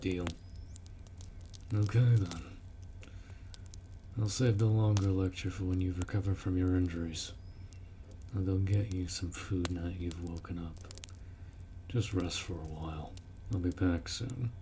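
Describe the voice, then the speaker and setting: Man playing a deep-voiced, protective orc warrior. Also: fairly even intonation, measured pace, long pauses, noisy background. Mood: casual, reassuring. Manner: blunt, informal, firm, instructing. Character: gruff, protective orc warrior man, blunt but caring. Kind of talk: roleplay audio drama, addressing the listener.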